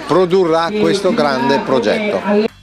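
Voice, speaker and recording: A man's voice: a little high, takes it slowly, over loud music, over a noisy crowd. An older man from a chamber of commerce, speaking emphatically into a handheld mic outdoors. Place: outdoor expo.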